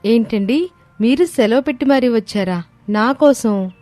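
A woman voicing a polite, eager young Telugu wife in an animated moral story. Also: on the high side, measured pace, dry recording, faint music behind. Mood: happy, curious.

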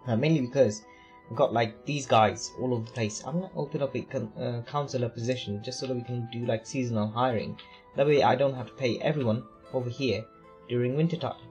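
Hoarse-voiced man rambling over gameplay. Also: medium pitch, a little fast, darkish tone, faint music behind. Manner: rambling, many fillers and self-questions. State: voice going hoarse. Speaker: let's play gamer. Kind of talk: casual game commentary.

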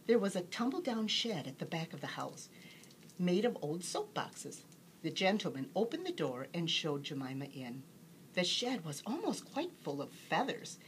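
A woman reading a children's bedtime story aloud as an expressive storyteller. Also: lowish voice, normal speed, slight background noise.

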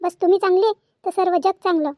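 A woman voicing a gentle, modest young wife in a cartoon family drama, hesitant, with a Varhadi Marathi accent. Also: extremely high pitch, fairly even intonation, fast, no room sound, clean studio sound. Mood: tender, modest.

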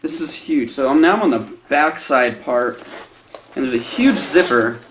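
A man's voice: mid-range voice, animated, normal speed, fairly bright tone, reverberant, slight background noise. A man in his thirties casually reviewing a backpack for his viewers, chatty and enthusiastic, calling them guys. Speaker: product reviewer. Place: small indoor room with a decorated Halloween backdrop.

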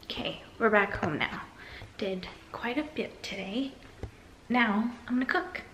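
A woman's voice: a little high, measured pace, over loud music, rough recording. A young woman chatting casually to a handheld camera in a lifestyle vlog, upbeat and full of fillers, filming around her home and out on the street. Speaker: lifestyle vlogger. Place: home and city street, indoors and out. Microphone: handheld camera at arm's length.

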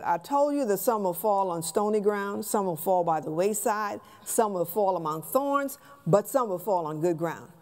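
An American woman in her sixties teaching scripture from a pulpit in a halting, conversational way with rhetorical questions. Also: mid-range voice, a little mellow. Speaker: Bible class teacher, preacher. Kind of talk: teaching sermon, explaining scripture. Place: church sanctuary stage, at a pulpit.

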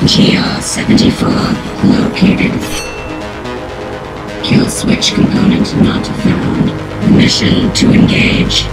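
A man's voice: slow pace, loud music behind the voice, close to an everyday voice, rough recording. A man playing a cold robotic combat unit in a video game, clipped and mechanical. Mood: cold, obedient. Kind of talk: scripted game dialogue.

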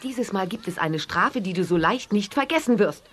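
A woman's voice: medium pitch, lively intonation, moderate pace, fairly bright tone. An actress playing a strict, easily exasperated mother in a children's radio play, scolding and overbearing.

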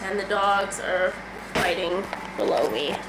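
A woman's voice: medium pitch, unhurried. Stuffed-up twenty-something woman rambling at her camera in the kitchen, laughs a lot, noisy hiss behind her. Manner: chatty, rambling, laughs often. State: congested from a cold. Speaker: vlogger. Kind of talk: casual food diary vlog. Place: home kitchen and living room. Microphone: handheld camera at arm's length.

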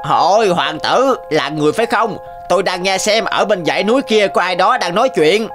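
A man's voice: high-pitched, lively intonation, fairly quick, over music. A man voicing odd, loyal servants with strange powers in a fairy-tale cartoon, good-natured and eager. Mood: surprised, boastful. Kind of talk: animated fairy-tale dubbing.

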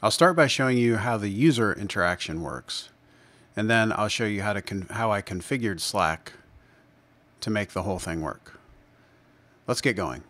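A man presenting a software demo in an unscripted screencast, explaining step by step in a measured way. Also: on the low side, expressive. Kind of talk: screencast tutorial narration, unscripted.

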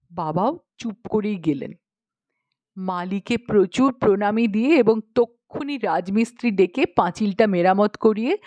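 A woman's voice: mid-range voice, darkish tone, no room sound. A woman narrating a humorous story expressively, acting out the dialogue. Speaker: audiobook narrator.

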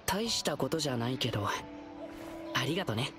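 Eager, earnest teenage boy for an anime game, lively intonation. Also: extremely low pitch, a little fast, music in the background. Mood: friendly, earnest. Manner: eager, boyish, casual speech. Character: earnest, upbeat, kind teenage boy lion dancer.

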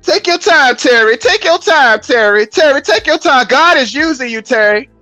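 An American man voicing a fervent older church lady who cheers on the preacher with drawn-out, encouraging exclamations. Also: very high voice, expressive, pushed and bright, dry recording, faint music behind, exaggerated voice.

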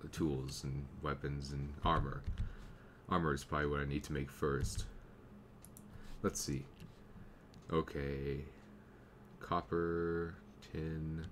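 A young man with a slight cold talks through his gameplay in a very low, unhurried voice, narrating each small step as it happens. The home recording has clear background noise and the click of a keyboard. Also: a bit bright. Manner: easygoing, thinking aloud while playing. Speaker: gameplay commentator. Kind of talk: casual let's play commentary.

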